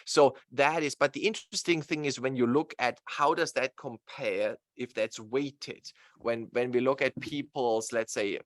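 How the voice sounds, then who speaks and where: A man's voice: medium pitch, unhurried, dry recording. A man with a German accent, a guest expert in an interview, explaining slides at length in a talkative way with many fillers. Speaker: guest real estate expert.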